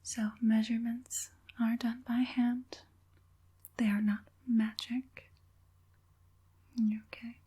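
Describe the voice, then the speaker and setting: An evil witch puppet master, played by a woman in an ASMR roleplay. She whispers very slowly and breathily, with a soft, mellow tone. Her manner is deliberate, calm and eerily soothing. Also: fairly high pitch, slightly rough, heavily acted. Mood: soothing, sinister. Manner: slow, deliberate, murmuring assent between pauses.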